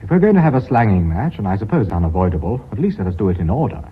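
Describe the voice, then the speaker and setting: A man's voice: fairly low pitch, sing-song, racing, breathy edge, heavily acted. An actor playing a formal, harried vice chairman of a company in a radio drama, with an English accent. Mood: exasperated. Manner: formal, authoritative, businesslike. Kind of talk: British radio drama acting. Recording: dull lo-fi radio recording.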